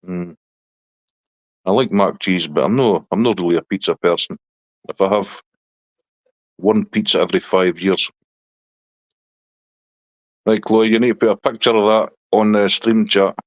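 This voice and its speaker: A man's voice: fairly low pitch, a little slow, long pauses, no room sound, highs cut off. A middle-aged man from Scotland with a Scottish accent, chatting casually on a live stream and answering viewers' comments.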